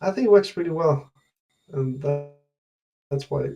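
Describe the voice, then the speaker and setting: A man in his thirties giving technical explanations as an interview guest, hesitant and pausing mid-sentence to think. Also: a little high, gravelly, warm tone, muffled recording, faint music behind. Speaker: loudspeaker research engineer, interview guest. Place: indoor room at home, on a video call. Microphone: video call.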